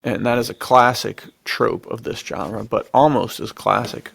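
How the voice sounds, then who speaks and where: A man's voice: medium pitch, fairly even intonation, unhurried, creaky, a bit bright, breathy edge, no room sound. A man co-hosting a podcast in casual chat, with a jokey manner. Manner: jokey, laughs through his talk. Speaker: podcast co-host.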